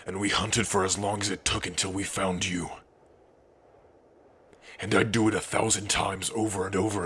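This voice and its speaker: A man playing a big, devoted, protective orc husband in an intimate ASMR roleplay, speaking tenderly in a husky, breathy voice with sighs and pauses. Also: low-pitched, bright, forceful tone, heavily acted. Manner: slow, tender, sighing, with pauses.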